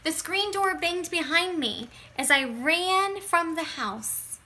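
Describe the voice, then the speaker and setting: A woman reading a children's picture book aloud in an expressive, dramatic way, stretching words for emphasis and moving between hushed phrases and bursts. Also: extremely high pitch, slow, a little hiss or hum.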